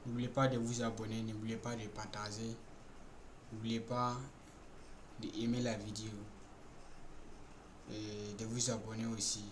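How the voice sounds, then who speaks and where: Male streamer: low, monotone, casual repetitive viewer address, roomy noisy mic. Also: unhurried, pauses often. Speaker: live stream host.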